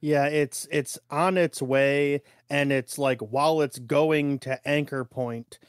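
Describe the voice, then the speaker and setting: A man's voice: on the high side, steady tone, slow pace, clean tone, dry recording. Laconic man podcasting in a dry room. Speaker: podcast co-host. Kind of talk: casual film discussion podcast.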